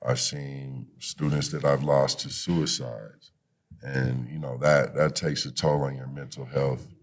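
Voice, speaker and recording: Very deep-voiced man, encouraging. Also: flat delivery, unhurried. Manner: encouraging, uses "you know" often. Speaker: podcast guest, youth activist and coach. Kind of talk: conversational interview with motivational encouragement.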